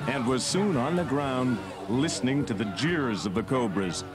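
A man's voice: medium pitch, lively intonation, moderate pace. A man reading scripted narration for a read-along children's story. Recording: narration from an old cassette. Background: loud music.

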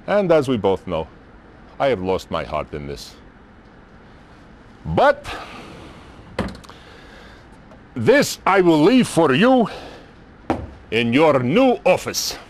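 An older male actor playing a weary, sardonic Soviet general in a game cutscene, with a Russian accent and theatrical, deliberate phrasing. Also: mid-range voice, huge pitch swings, slight background noise. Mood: rueful, resigned. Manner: theatrical, deliberate, pausing between short phrases. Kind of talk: dramatic game cutscene acting.

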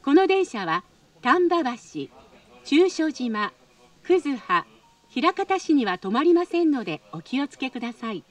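High-pitched, polite woman announcing on a train. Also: very animated, measured pace. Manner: polite, formal, practised delivery. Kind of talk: scripted transit announcement. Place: train car, over public-address speakers. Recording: recorded off an in-car speaker, slightly distant.

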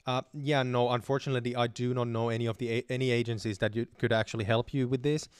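A man's voice: mid-range voice, quick pace, clear, resonant tone, no echo. Young male host, casual live-stream Q&A, hesitant fillers, dry close-miked sound. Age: young adult. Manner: relaxed, many fillers and restarts, reads chat questions aloud. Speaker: channel host answering viewer questions. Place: dim home room with shelves. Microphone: studio mic on boom arm close to mouth.

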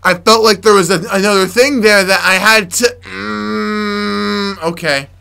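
Young male let's-player, raspy from yelling, bright voice, faint game music underneath. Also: high-pitched, fairly even intonation, a little slow. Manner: frustrated, muttering to himself, sudden yelps. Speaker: gameplay commentator. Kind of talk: let's play commentary.

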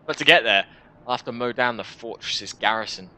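A man in his twenties reading a first-person story aloud in an expressive, deliberate, dramatic style. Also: mid-range voice. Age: 20s. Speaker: video creator reading a visual novel. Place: small room at home, indoors.

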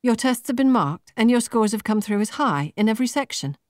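Woman doing a chirpy young businesslike recruiter, high-pitched. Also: animated, fairly quick, no room sound, studio quality, lightly acted. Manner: chirpy, polished, patronising. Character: young, brisk, confident businesslike female recruiter.

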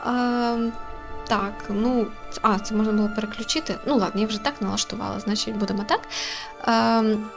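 Chatty lower-voiced woman over game music. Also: fairly even intonation, moderate pace, gravelly. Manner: chatty, informal, thinking aloud. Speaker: gaming channel host. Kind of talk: casual let's-play commentary and reading aloud.

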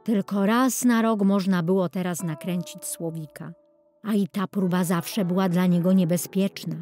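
A woman reading a children's storybook as a scripted, expressive and deliberate audiobook narration. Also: fairly low pitch, soft background music.

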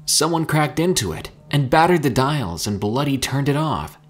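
Frantic, angry young man for audio horror, urgent, husky, prone to shouting male narrator performing. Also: fairly low pitch, slow pace, faint music behind, exaggerated voice. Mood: furious. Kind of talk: dramatic horror audiobook character acting.

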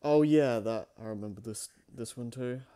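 A man's voice: mid-range voice, moderate pace, mellow. A man co-hosting a show in casual, unscripted chat, with a hesitant, rambling way of talking. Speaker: co-host of a fan fiction reading show.

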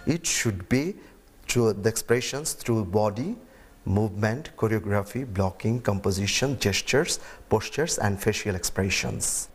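Middle-aged man, halting speech. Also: fairly low pitch, husky. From Bangladesh. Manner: halting, with fillers and grammar slips. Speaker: interviewee, mime artist. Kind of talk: interview answers. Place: indoor room.

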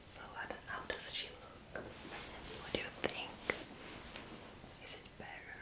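A woman in her twenties doing a whispered ASMR roleplay, speaking softly and casually, close to the mic in a small room. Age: 20s. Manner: soft, casual, unhurried whispering. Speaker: ASMR creator.

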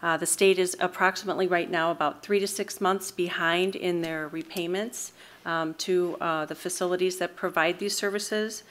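A woman's voice: lowish voice, fairly even intonation, normal speed, fairly bright tone. A middle-aged woman with an American accent delivers a partly read prepared statement at a podium microphone in a meeting room. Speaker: mental health board member presenting to city council. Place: large council meeting room, indoors.

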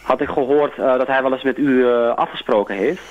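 A man's voice: medium pitch, a little fast, highs cut off, a little hiss or hum. A man calling in to a radio show, chatting casually in a polite, tentative way. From Netherlands. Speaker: radio caller. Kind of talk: casual phone-in chat.

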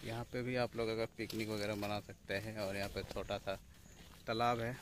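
A man's voice: on the low side, little pitch movement, fairly quick. A man, chatting casually for a motorbike vlog outdoors. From India. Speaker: motovlogger. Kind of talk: casual vlog chat while riding and sightseeing. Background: loud music, vehicle sounds.

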